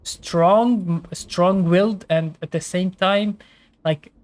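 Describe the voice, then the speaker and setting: Slow-talking, low-voiced woman gamer. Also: a little mellow. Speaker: gamer reacting to a game story. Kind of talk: casual gameplay commentary.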